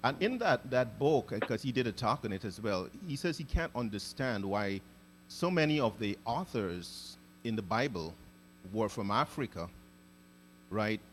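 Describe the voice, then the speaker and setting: A man from Guyana hosting an interview, speaking thoughtfully and often pausing to search for words. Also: fairly low pitch, lively intonation, slight background noise. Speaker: podcast host. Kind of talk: casual interview conversation.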